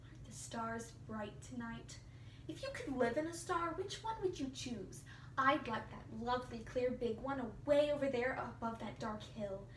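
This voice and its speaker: A woman's voice: on the high side, animated, normal speed, lightly acted. Woman acting melodramatic orphan girl, roomy and distant. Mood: dreamy, chatty. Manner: gushing, melodramatic, pleading. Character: dramatic, imaginative red-haired orphan girl, effusive and earnest. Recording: roomy, distant mic, faint hiss.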